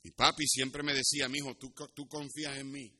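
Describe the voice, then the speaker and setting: Bright-voiced Puerto Rican pastor preaching. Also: male voice, mid-range voice, little pitch movement, a little fast, husky. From Puerto Rico. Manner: didactic, switches into English asides. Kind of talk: sermon with Bible teaching and personal anecdotes.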